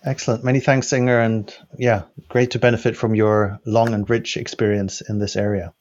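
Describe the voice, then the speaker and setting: A man's voice: fairly low pitch, flat delivery, a little mellow, highs cut off. Formal man hosting a panel. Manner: polite, formal, measured. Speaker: host. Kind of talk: scripted panel introduction.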